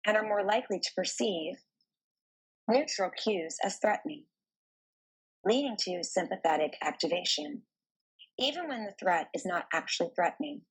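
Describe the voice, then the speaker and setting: A woman narrating a guided meditation in a soft, soothing, deliberate manner with pauses between phrases. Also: mid-range voice, slightly rough, poor audio.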